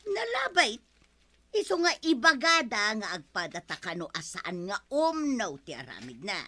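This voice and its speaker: A woman's voice: on the high side, very animated, moderate pace, bright, forceful tone, full character voice. A woman with an Ilocano accent playing a nagging village wife in a radio comedy drama, scolding and questioning. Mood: aggrieved.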